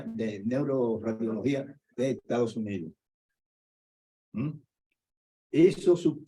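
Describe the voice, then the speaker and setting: An older man, an orthopedic doctor giving a conference lecture in a lively storytelling way. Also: mid-range voice. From Dominican Republic. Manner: conversational, animated storytelling with asides. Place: conference hall. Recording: echoey hall, dull lo-fi sound.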